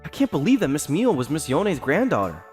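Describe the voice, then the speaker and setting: A man's voice: fairly high pitch, wildly swooping pitch, fairly quick, darkish tone. A man playing an earnest, polite young hotel owner in a manga dub, both narrating and speaking in character. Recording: background music under the voice.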